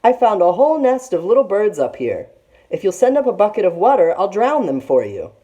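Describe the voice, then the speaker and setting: A woman's voice: medium pitch, very animated, fairly quick, dark tone, muffled recording, voice pushed up, natural voice. A woman voicing a clever, caring young girl, the big sister in a children's storybook, in an earnest, polite manner. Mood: sly, polite. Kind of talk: children's storybook read-aloud.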